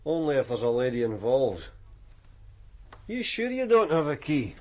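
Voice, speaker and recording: A man's voice: mid-range voice, big pitch swings, moderate pace, lightly acted. An actor playing a cynical, plain-speaking male police detective, dry and blunt, in radio drama. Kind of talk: naturalistic radio drama acting.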